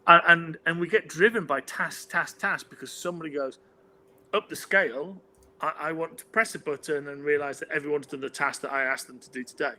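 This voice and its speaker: A British man hosting a livestream panel, opinionated and animated, with frequent stammered restarts. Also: a little high, brisk. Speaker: host.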